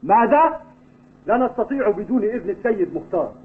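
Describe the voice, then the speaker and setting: An upright young team captain in a cartoon, with a high, earnest voice. He speaks firmly, and the audio is thin and phone-like. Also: animated, fast talker. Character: principled, earnest male school football team captain. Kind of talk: Arabic cartoon dubbing. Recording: tinny, phone-like old TV dub audio.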